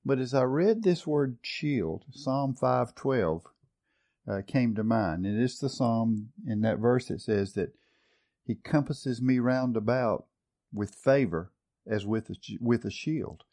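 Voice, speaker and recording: A man leads a Bible study in a warm, mellow voice at an ordinary pitch. He is earnest and reflective, weighing one scripture translation against another. He often restarts a phrase, repeating a word or two as he reaches for it. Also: lively intonation, slow pace. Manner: repeats words while finding the phrase. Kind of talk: teaching a Bible study session.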